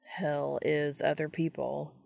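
A woman's voice: low voice, little pitch movement, takes it slowly, gravelly, a little mellow, no echo, full character voice. A woman voicing a wary, wry man with a dry, sardonic manner in an audiobook. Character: wary, wry male guest with dry wit.

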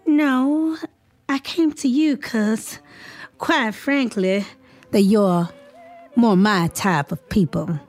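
A woman's voice: fairly high pitch, slow pace, darkish tone, soft background music. An actress playing an eager, spirited young woman writer in an audio drama, polite and persuasive as she seeks patronage. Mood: earnest, persuasive.

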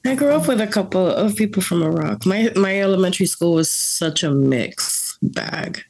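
A young woman from the Bronx, a coffee grader, chatting as a guest in a blunt, profane, animated way and laughing often. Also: on the low side, normal speed, creaky, poor audio. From Bronx, New York. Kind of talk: casual chat.

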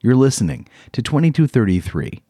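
A man introducing a podcast episode in a measured, dramatic scripted narration. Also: mid-range voice, big pitch swings, dark tone, husky, dry recording, clean studio sound, soft background music. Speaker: podcast host.

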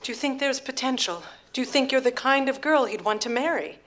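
Casting: actress as status-minded genteel mother, prying, theatrical, gushing, high and bright voice. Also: expressive, brisk. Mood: needling. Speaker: radio drama actor. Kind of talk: live radio drama acting.

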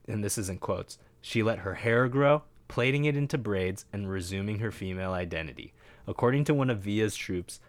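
A man with a fairly low voice co-hosts a relaxed podcast. He reads passages aloud from a web page and keeps breaking off for wry asides. The sound is close and dry, with no room around it. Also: dry recording. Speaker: podcast co-host. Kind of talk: casual chat, reading aloud from a web page.

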